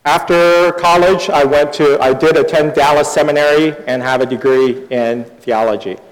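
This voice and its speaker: The man you would hear from the pulpit of a reverberant church on Sunday morning, middle-aged, pitched a little high, pausing after each sentence. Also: fairly even intonation. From born in Taiwan, grew up overseas. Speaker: guest preacher. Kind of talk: sermon given in short phrases for interpretation. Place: large reverberant church hall. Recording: echoey hall with long reverb.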